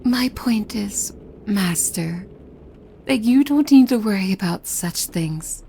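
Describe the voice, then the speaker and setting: Slow, possessive demon maid acted by a woman. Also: mid-range voice, expressive, vocal fry, mellow, slight background noise. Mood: menacing, protective. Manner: slow, formal, servile yet menacing, often dropping to a whisper. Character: possessive, doting yandere demon maid woman devoted to her master. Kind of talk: ASMR roleplay acting.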